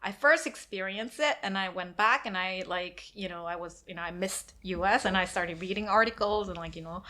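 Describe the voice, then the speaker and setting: A woman's voice: mid-range voice, brisk, bright, forceful tone. A woman from Korea, chatting as a podcast guest, laughing often and leaning on "you know" as she talks. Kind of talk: casual podcast conversation.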